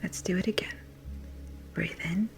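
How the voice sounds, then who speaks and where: A woman with a breathy, whispery voice gently and soothingly guides a meditation in a measured way. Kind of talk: slow guided meditation narration. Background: music.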